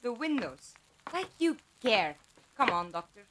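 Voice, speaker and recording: Woman playing dry, lively, sensible ally. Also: a little high, unhurried, noisy background. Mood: firm. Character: sensible, dry-witted woman, loyal and dismissive of nonsense.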